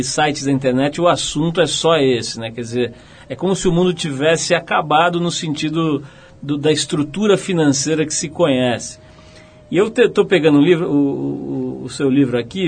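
Husky Brazilian man interviewing, chatty. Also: fairly high pitch. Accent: Brazilian Portuguese. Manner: chatty, many "né?" tags, reads a passage aloud. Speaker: radio interviewer. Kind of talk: conversational interview.

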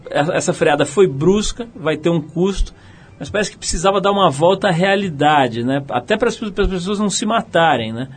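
A man's voice: high voice, lively intonation, a little fast, slight background noise. A Brazilian man hosting an interview, talking casually with frequent tag questions and reading a passage aloud. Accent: Brazilian Portuguese. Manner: chatty, many "né?" tags, reads a passage aloud. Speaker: radio interviewer. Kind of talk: conversational interview.

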